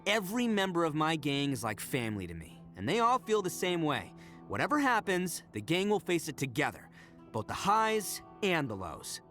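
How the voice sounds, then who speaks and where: A loudmouthed young male oni gang boss from a video game. The voice sits high and leaps around theatrically, with a bright, forceful edge. He is slangy, boastful and cocky, yet big-hearted. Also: huge pitch swings, normal speed, faint music behind. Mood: earnest, defiant. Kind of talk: video game character voice acting.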